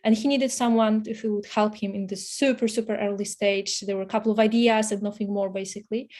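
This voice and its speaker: A woman's voice: medium pitch, fairly even intonation, fairly quick, darkish tone. A woman from Poland, a native Polish speaker with a Polish accent, telling her story casually as an interview guest. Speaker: podcast guest, startup investor.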